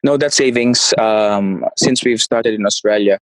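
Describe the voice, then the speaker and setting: A man's voice: lowish voice, unhurried, dry recording, rough recording. A man, answering interview questions in a casual way and often searching for words. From Philippines. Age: 30s. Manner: searches for words, frequent restarts. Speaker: guest, property investor.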